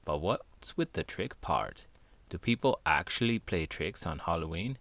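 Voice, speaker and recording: A man's voice: deep voice, sing-song, unhurried, creaky, fairly bright tone, no room sound, full character voice. A man voicing a curious, playful chimp sidekick with a cheeky, inquisitive manner for a children's show.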